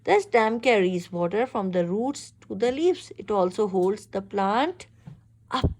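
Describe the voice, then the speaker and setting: A woman reads a children's picture book aloud in an expressive, instructive way, pausing to ask questions. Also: mid-range voice. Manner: expressive, instructive, exclaims and checks understanding. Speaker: reader teaching a young child.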